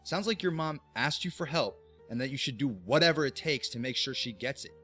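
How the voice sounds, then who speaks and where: A man's voice: mid-range voice, animated, brisk, pushed and bright, soft background music. An actor playing a defiant young man who talks with a sarcastic edge.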